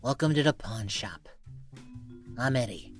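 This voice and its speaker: An actor playing a gruff, unimpressed pawn shop owner in a comedic audio drama, speaking in a blunt, dry deadpan. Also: medium pitch, unhurried, soft background music, natural voice. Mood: curt, skeptical.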